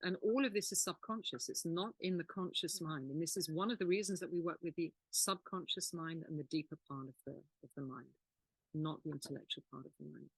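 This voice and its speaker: A woman co-hosting a healing channel who explains things in a calm, reflective way. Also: deep voice, brisk, husky, no room sound, rough recording. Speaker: healing course teacher and co-host. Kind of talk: teaching conversation.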